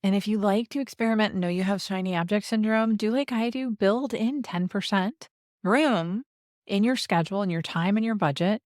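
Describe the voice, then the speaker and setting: A woman's voice: mid-range voice, moderate pace, darkish tone, no echo, studio quality. A woman hosting a podcast, chatting upbeat and conversational with her guest. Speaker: podcast host. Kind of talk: casual interview chat.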